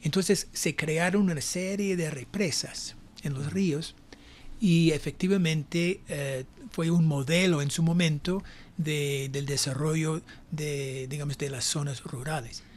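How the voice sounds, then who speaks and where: An older man from the United States with an American accent, a native English speaker, giving reflective, halting answers as an academic guest. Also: fairly high pitch, fairly even intonation. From United States (New Jersey). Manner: hesitant, reflective, frequent pauses. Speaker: academic guest, university professor. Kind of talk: conversational interview answers.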